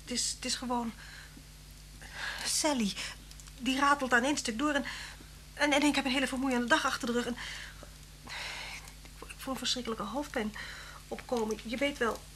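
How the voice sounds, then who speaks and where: A frightened, high-voiced radio drama heroine. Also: female voice, fairly even intonation, normal speed, long pauses, sharp tone. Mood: uneasy, covering fear. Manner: faltering, whispering when afraid. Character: frightened woman alone at home with suspected killer. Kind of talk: Dutch radio play acting. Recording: humming, noisy old radio recording.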